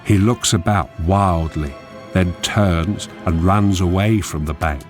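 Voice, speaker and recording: An actor as a measured, deliberate narrator reading screen directions in a radio drama. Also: male voice, deep voice. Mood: measured, suspenseful. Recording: music behind the narration.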